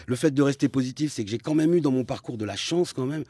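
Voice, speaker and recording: A man's voice: mid-range voice, quick pace, slightly rough, no room sound. A man with a Parisian accent, a comedian guest chatting casually and jokingly in a radio interview. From France. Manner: chatty, lively, joking, frequent restarts.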